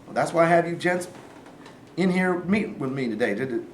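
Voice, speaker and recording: A man in a staged play reading, playing a gruff, scheming Southern man of authority with a folksy, commanding manner. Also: a little high, lively intonation, moderate pace, natural voice. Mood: firm, scheming. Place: live staged reading in a room. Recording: roomy, distant mic, faint noise.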